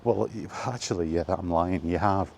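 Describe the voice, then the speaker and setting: A man's voice: deep voice, moderate pace, vocal fry, a little mellow, slight background noise. A man rambling casually in a weary, grumbling way, as in a freewheeling solo vlog. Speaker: vlogger. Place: walking while talking, ambient noise behind.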